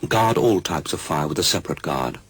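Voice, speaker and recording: A man's voice: low-pitched, lively intonation, brisk, heavy background noise. A man narrating a safety film as a scripted instructional warning. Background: loud music.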